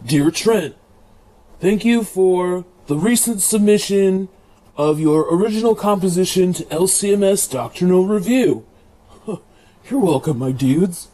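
Guy doing an eager goofy church music dude, upbeat slangy, really high voice, radio skit. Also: animated, unhurried, dark tone. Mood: reading aloud. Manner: slangy, upbeat, reads letters aloud. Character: overly eager, irreverent, goofy young worship leader. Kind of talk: comedy radio sketch.

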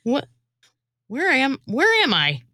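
A woman with a theatrical, animated delivery, moving between comic storytelling and casual podcast chat. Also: sits high, unhurried, dry recording, studio quality. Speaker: podcast former co-host and guest performer.

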